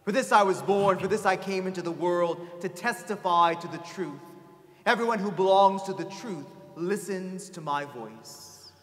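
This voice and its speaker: A man playing a calm, solemn holy man on trial in a scripture reading, measured and gentle. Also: sits high, lightly acted. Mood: quietly assured. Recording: echoey hall with long reverb.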